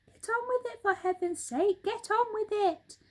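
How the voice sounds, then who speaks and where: A woman voicing a frenzied, bossy father monkey from a children's storybook, shouting urgent orders excitedly. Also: very high-pitched, normal speed, pitched above the performer's own voice. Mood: frantic, bossy.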